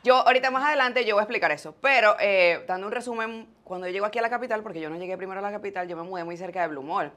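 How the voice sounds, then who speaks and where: A woman's voice: mid-range voice, very fast, hardly any pauses, a bit bright. A woman in her twenties with a Venezuelan accent, telling stories casually as an interview guest on a lapel mic. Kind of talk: casual, chatty storytelling. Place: small indoor studio set.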